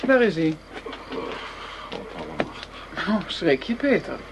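An actress playing a cold, commanding criminal ringleader in a radio play, silky and mocking, on a noisy old recording. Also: female voice, on the low side, sing-song, moderate pace. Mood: mocking, menacing. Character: cold, menacing female criminal ringleader, seductive and cruel.

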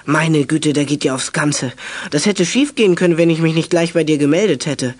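A man's voice: on the high side, brisk, lightly acted. A young male actor playing an eager teenage boy radio detective in a children's audio drama, speaking earnestly. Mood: earnest, relieved.

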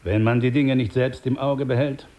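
Guy acting radio drama crime boss, mellow dark voice, smooth talker, condescending host type, gets short with people. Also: mid-range voice, steady tone, close to an everyday voice. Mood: smooth, patronizing. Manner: patronizing, unhurried politeness with impatient asides. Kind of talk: German radio drama acting, with Spanish asides.

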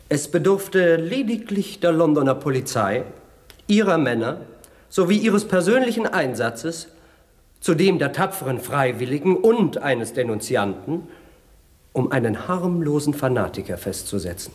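An actor playing a sardonic, eloquent English gentleman who taunts with irony in a German radio play. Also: a little high, very animated, unhurried, long pauses. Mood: mocking. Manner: ironic, mocking, eloquent.

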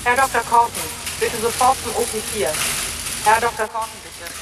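A performer playing an impersonal, brisk, polite hospital paging announcer in a radio drama, speaking formally. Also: mid-range voice, background noise, natural voice. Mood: impersonal, urgent.

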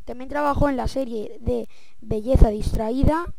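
A woman's voice: on the high side, moderate pace, vocal fry, no room sound. A woman reading out a guest's biography steadily, listing item after item. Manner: steady listing read-aloud. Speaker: school radio presenter.

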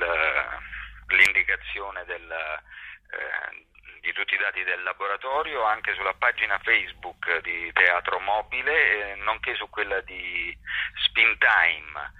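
A man giving explanatory interview answers in a halting, thoughtful way, searching for his phrasing as he goes. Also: low voice, no echo, phone-quality sound. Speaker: actor and workshop teacher being interviewed.

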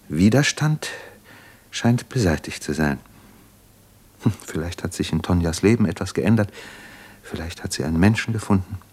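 An actor playing a weary, proud intellectual man in a 1950s German radio drama, speaking deliberately and reflectively. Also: low-pitched. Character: weary, proud intellectual man defending his troubled life.

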